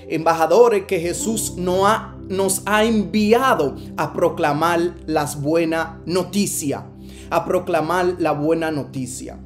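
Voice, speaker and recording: Dominican male evangelist, earnest and emphatic, filler-heavy, light guitar underneath. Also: high voice. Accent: Dominican Spanish. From Dominican Republic. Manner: earnest, repetitive, many filler "eh"s, rising emphatic. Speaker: evangelist preacher. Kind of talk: sermon over video call. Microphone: video call.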